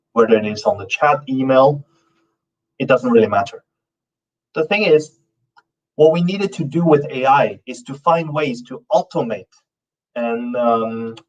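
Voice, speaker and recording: Halting male conference speaker, distant mic. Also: medium pitch. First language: not Norwegian. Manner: loose, halting delivery with many fillers and restarts. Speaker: conference speaker, company founder. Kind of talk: conference presentation. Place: conference stage. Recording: dull, muffled sound from a distant mic.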